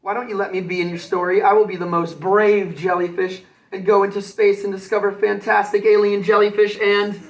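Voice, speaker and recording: A man voicing an excitable, self-assured female jellyfish from a storybook, bubbly and boastful. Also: sits high, fairly quick, hardly any pauses, pitched above the performer's own voice, low-quality recording. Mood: excited.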